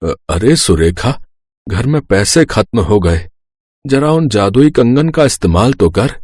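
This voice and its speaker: A man's voice: lowish voice, lively intonation, unhurried, a little mellow, no room sound, studio quality. A man voicing a plain, hardworking village father in a cartoon story, matter-of-fact and reassuring. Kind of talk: cartoon voice acting.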